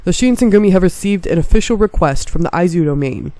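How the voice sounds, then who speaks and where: A woman's voice: fairly low pitch, lively intonation, fast, warm tone, no echo. A congested woman voicing a proud, upbeat male samurai leader who speaks formally to his men. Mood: rousing. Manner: formal, rousing.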